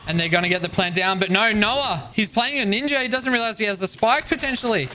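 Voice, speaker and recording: Very high-voiced excited male caster. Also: slight background noise. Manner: fast, shouting at big plays. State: losing his voice. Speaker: esports caster. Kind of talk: excited play-by-play commentary.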